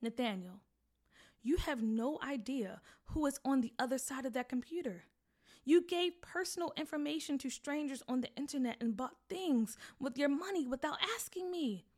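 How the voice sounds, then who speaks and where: Storybook mom: woman voicing a firm, scolding, protective parent, slightly high voice. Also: normal speed, darkish tone, natural voice. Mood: stern. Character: stern, protective mother.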